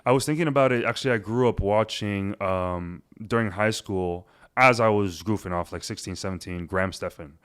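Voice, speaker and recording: A man in his twenties, born in Cuba, talking casually as an interview guest with a relaxed, rambling manner and many fillers. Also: on the low side, fairly quick, hardly any pauses, smooth. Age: 20s. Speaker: guest, realtor.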